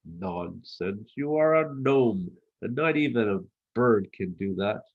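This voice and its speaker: A haughty goose, leader of the flock in a children's tale, performed by a man. The delivery is deliberate, indignant and dismissive. It sounds a little lo-fi. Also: fairly low pitch, expressive, exaggerated voice. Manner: challenging, dismissive.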